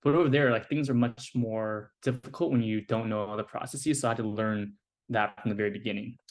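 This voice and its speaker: A young man with an American accent and a fairly low voice talks as a podcast guest. His delivery is casual and easygoing, scattered with fillers as he thinks aloud. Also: a little fast. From United States. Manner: relaxed, filler-heavy speech with many "like" and "you know". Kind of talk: casual conversational interview.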